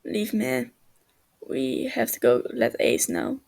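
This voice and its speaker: A woman voicing a stern, big-brotherly male villain with a dry, calm manner in a story reading. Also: on the high side, takes it slowly, gravelly, exaggerated voice. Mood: commanding. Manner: dry, calm, hesitant when sincere.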